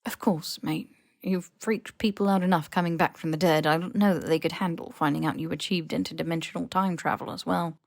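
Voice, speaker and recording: A man's voice: fairly high pitch, fairly even intonation, a little fast, creaky, no room sound, clean studio sound, pitched below the performer's own voice. A woman playing a young male wizard, a blunt and loyal best friend, in an audiobook-style fan fiction reading. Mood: reassuring.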